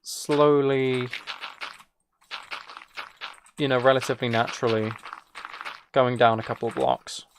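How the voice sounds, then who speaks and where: Gravelly-voiced man chatting through a game. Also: medium pitch, fairly even intonation, measured pace, pauses often, noisy background. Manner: self-mocking, playful. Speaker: streamer. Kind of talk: casual gameplay chat, thinking aloud.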